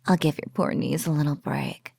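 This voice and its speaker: A woman voicing a sultry, smugly menacing spider-woman bully in an intimate ASMR roleplay, breathy and often slipping into whispers, with mock-pitying coos. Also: low voice, moderate pace, a little mellow, dry recording, full character voice.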